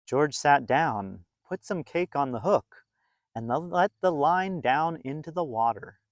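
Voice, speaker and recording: A man narrating a children's storybook in an expressive, deliberate way, drawing out phrases for young listeners. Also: a little high, no echo, clean studio sound. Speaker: storybook reader.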